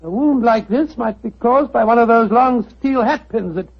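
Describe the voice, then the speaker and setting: An actor playing a hearty, slightly bumbling doctor sidekick in a 1940s radio drama. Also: male voice, very high-pitched, normal speed, vocal fry, slightly rough, dull audio, heavily acted. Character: bluff, eager doctor sidekick.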